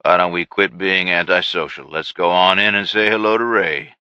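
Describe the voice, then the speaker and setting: Guy voicing a dry wry gunman, low bright voice, muffled dry audio. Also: fairly even intonation, moderate pace, clean tone, dry recording.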